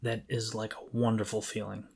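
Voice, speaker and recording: A man's voice: fairly low pitch, little pitch movement, moderate pace, gravelly, darkish tone, slightly rough. A middle-aged man co-hosting a podcast, talking casually and reflectively as he thinks aloud. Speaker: podcast co-host. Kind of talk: casual conversational talk.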